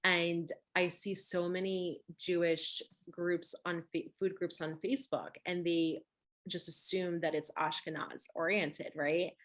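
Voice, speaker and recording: A woman's voice: on the low side, level tone, a little slow, fairly bright tone. A woman whose first language is Russian, chatting casually in a webinar over a video call and tagging her points with "right?" and "you know". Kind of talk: webinar conversation.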